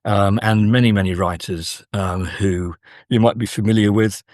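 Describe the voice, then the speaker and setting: A man speaking as an academic interview guest, explaining in measured, halting phrases with frequent pauses. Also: lowish voice, steady tone, darkish tone, dry recording, clean studio sound.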